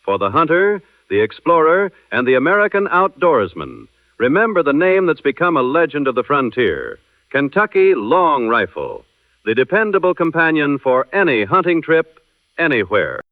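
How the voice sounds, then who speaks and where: A man's voice: a little high, very animated, moderate pace, no room sound. A man playing a hearty announcer in a vintage radio commercial, salesmanlike, through an old radio filter. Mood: hearty, selling. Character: cheery old-time radio rifle pitchman. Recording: tinny narrow-band old radio sound.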